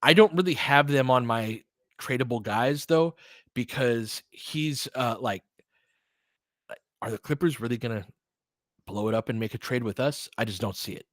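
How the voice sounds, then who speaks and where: A man's voice: mid-range voice, normal speed, pauses often, dry recording, studio quality. A man doing informal basketball play-by-play as a host, chatting conversationally and thinking aloud. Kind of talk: casual basketball commentary mixed with chat replies.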